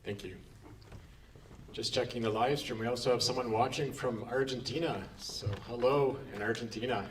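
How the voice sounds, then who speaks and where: A man's voice: mid-range voice, steady tone, normal speed, recorded from a distance, a little hiss or hum. A man hosts a live screening in a theatre, announcing in a friendly, easy manner. He sounds set back from the microphone, with the room around him. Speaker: event host. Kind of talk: live event hosting.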